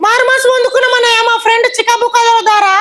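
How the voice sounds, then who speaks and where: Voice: very high voice, little pitch movement, very fast. A performer voicing a pious, cheeky child puppet friend in a children's Bible puppet show. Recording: echoey hall, loud noise.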